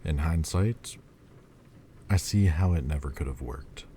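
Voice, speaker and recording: A man playing a very deep, brooding demon lord. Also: a little hiss or hum. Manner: slow, quiet, halting confession. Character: brooding ancient male demon lord, wistful. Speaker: voice actor. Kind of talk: audio roleplay monologue.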